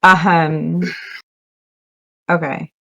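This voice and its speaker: A woman playing a tabletop game, chatting casually and thinking aloud in a halting way. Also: sits low, flat delivery, gravelly, fairly bright tone, no echo, dull audio.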